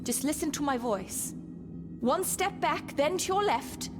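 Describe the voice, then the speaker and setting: High-voiced, careful woman guide. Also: animated, a little slow, music in the background. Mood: anxious. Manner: careful, coaxing. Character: gentle, anxious woman guiding someone to safety. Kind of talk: video game voice acting.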